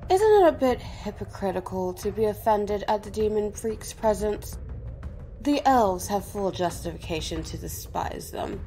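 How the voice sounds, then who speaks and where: A woman voicing a defiant, sharp-tongued elf leader in a fantasy audio drama, sardonic and cutting. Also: medium pitch, lively intonation, a little slow, faint music behind. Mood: mocking.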